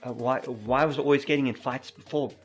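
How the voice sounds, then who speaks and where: Gentle stuttering man, podcast guest. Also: mid-range voice, steady tone, brisk, faint music behind. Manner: stutters, repeats words, soft and gentle. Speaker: podcast guest, mountain biker. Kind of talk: casual interview chat.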